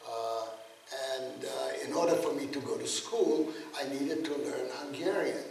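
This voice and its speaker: A man in his eighties, from Czechoslovakia with German as his first language, giving a spoken testimony with halting speech full of fillers and restarts. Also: medium pitch, creaky, a little mellow, slight background noise. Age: 80s. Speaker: guest speaker, Holocaust survivor. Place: lecture room. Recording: very echoey room, mic far off.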